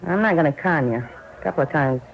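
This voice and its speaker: A woman's voice: sits low, animated, a little fast. A woman playing a grateful, plain-spoken ex-convict going straight, earnest and colloquial, in 1950s radio drama on a muffled old recording. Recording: muffled, faintly noisy vintage radio recording.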